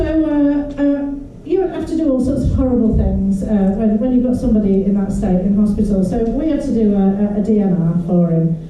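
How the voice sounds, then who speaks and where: A woman's voice: high voice, background noise. Mancunian woman doing stand-up, echoey club. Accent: Manchester. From Manchester. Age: 40s. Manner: dry, deadpan delivery with crude punchlines. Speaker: stand-up comedian. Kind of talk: stand-up comedy set. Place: comedy club stage. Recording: echoey club room, distant mic.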